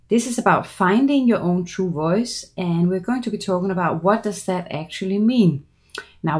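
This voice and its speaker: A middle-aged woman from Denmark with a Danish accent, a voice coach giving an earnest, measured promotional pitch for her seminar. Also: a little mellow. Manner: measured, earnest, persuasive delivery.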